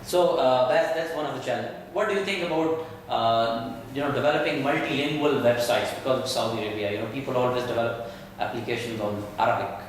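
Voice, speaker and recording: Male tech show host, keen, stumbles and repeats, mid pitch, distant mic in a roomy space. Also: brisk. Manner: enthusiastic, repeats words, asks questions. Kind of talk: casual tech talk show. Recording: echoey room, mic far off, faint hiss.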